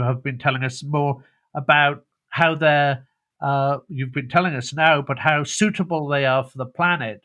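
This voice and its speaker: A man's voice: on the high side, a bit bright. A British man hosting a podcast interview, asking long, rambling questions full of restarts. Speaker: podcast host. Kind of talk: conversational interview.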